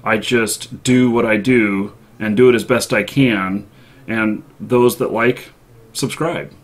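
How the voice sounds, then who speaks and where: A man's voice: on the low side, fairly even intonation, slow. A man chatting casually as host of a live talk show.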